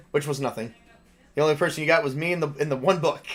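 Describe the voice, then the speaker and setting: Higher-voiced man running a tabletop game. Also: quick pace. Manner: profane, informal. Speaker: game master and player. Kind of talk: improvised tabletop game narration, casual.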